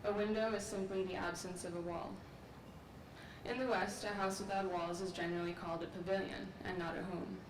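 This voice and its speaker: A young woman from Sri Lanka giving a measured, earnest graduate speech at an outdoor commencement through a podium microphone. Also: fairly low pitch, level tone. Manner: measured, earnest, reflective delivery. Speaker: graduate student speaker. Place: large outdoor courtyard ceremony. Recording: echoey, distant, with noise.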